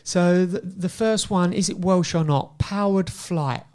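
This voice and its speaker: A man's voice: high voice, unhurried, a little mellow, clean tone. A man hosting a podcast, running a playful quiz game in a casual, upbeat way. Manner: upbeat, laughing, repeats words. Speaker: podcast host.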